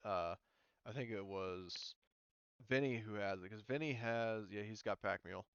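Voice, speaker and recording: A man's voice: lowish voice, moderate pace, fairly bright tone, clear, resonant tone, no echo, lo-fi sound. A man running an online tabletop game as game master, explaining things informally and a little hesitantly.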